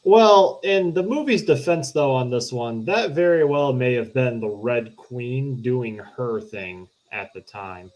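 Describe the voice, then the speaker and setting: A man's voice: medium pitch, a little slow, few pauses, darkish tone. A man on a podcast panel, informally explaining points in a casual film discussion, through slightly dull lo-fi sound.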